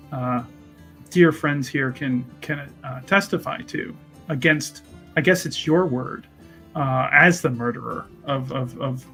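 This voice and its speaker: Man acting a pompous, indignant young student, slow and deliberate. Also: medium pitch, fairly bright tone. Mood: self-righteous. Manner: pompous, flowery, halting. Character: indignant, self-righteous young male student accusing a murderer.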